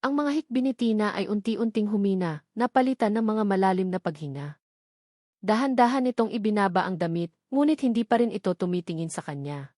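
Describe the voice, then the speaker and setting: A woman's voice: medium pitch, expressive, brisk, mellow, dry recording, studio quality. A woman reading a scripted romantic drama as a story narrator. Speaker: narrator of audio love stories.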